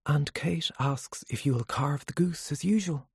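A narrator voicing an eager, mildly teasing wife with a lively, coaxing manner, in an audiobook story. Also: mid-range voice, measured pace, gravelly, slightly rough, no echo, studio quality, full character voice. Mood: matter-of-fact.

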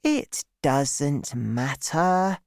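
A narrator voicing a small boy in a storybook, gentle but insistent, with slow, deliberate and simple speech. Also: medium pitch, big pitch swings, clean tone, no room sound, studio quality, pitched above the performer's own voice. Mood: calm, slow. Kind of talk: storybook character voice.

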